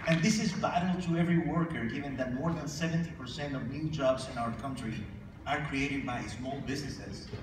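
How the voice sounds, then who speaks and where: A man's voice: mid-range voice, brisk. Man speaking formally from a podium. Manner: formal, emphatic speech-giving with rising calls for applause. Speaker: chamber of commerce leader presenting awards. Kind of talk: prepared speech at a podium. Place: large convention ballroom stage. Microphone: podium microphone, filmed from far in the audience. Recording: echoey hall, distant mic, audience noise. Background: audience ambience.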